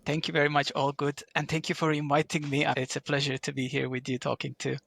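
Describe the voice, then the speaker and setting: Man, middle-aged, from Turkey, gravelly, husky, explanatory with fillers. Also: fairly high pitch, monotone, fast talker, a bit bright, dry recording. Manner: explanatory, frequent fillers and restarts. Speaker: guest, pharma digital manager. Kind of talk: interview answers.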